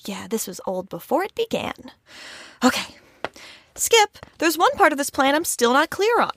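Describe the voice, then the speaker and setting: An eager, wary agent in an audio comedy. The voice is extremely high and animated, earnest in its questions and quick to sound amazed. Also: female voice, slight background noise, exaggerated voice. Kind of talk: comic audio drama acting.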